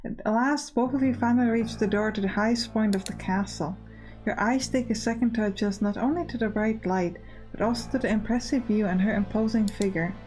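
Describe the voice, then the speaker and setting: A woman's voice: a little high, brisk, darkish tone, soft background music, rough recording. A woman hosting a gaming let's play, chatting and reading game text aloud. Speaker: gaming channel host.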